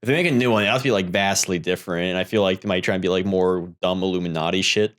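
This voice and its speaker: A man's voice: low-pitched, racing, fairly bright tone. A man on a podcast panel, chatting casually about films in a slangy, rambling way. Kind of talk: casual film discussion chat.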